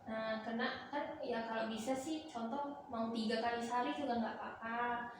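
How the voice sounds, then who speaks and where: A woman's voice: fairly high pitch, fairly even intonation, normal speed. A young woman from Malaysia, a dental student, explaining health advice in casual, conversational interview answers. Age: young adult. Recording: echoey room, distant mic, clear background noise.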